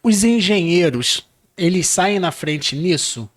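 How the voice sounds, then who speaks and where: A man hosts a relaxed podcast conversation, his voice sitting well above the usual male range. He chats in a loose, friendly way, asking questions and adding short remarks as his guest talks. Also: high voice, takes it slowly. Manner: chatty, short interjections. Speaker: podcast host.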